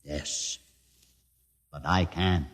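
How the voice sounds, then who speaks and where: An actor playing a grave, learned mutant leader with a twisted leg, in a 1950s radio drama. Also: male voice, a bass voice, fairly even intonation, a bit bright, heavily acted. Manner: solemn, measured storyteller. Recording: old 1950s radio broadcast with long reverb.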